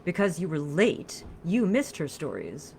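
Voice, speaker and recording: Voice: mid-range voice, huge pitch swings, measured pace, creaky, darkish tone, a little hiss or hum, heavily acted. A narrator voicing an elegant female vampire lover in a story, speaking in a caressing, formal, commanding way. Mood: gently commanding.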